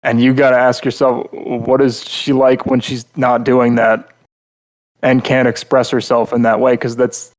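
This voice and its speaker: A male podcast co-host giving casual, opinionated critique. His voice sits at an average pitch, and he talks bluntly in a rambling, filler-heavy flow, circling back to restate his points. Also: flat delivery, a little slow, poor audio.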